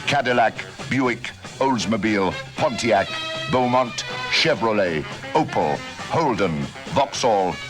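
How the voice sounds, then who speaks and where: A man's voice: fairly low pitch, lively intonation, slow pace. A man announcing a 1960s radio series in a solemn, emphatic, dramatic style. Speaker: programme announcer. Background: music.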